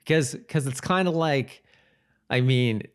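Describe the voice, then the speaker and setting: A man co-hosting a podcast in casual chat, speaking haltingly and often repeating and rephrasing himself. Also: mid-range voice, clear, resonant tone. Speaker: podcast co-host. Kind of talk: casual chat about a TV episode.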